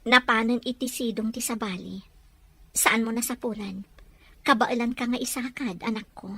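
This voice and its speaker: A woman's voice: a little high, steady tone, moderate pace, bright, forceful tone, slightly rough, heavily acted. A woman playing a worried young mother, tender with her child. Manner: anxious, tender.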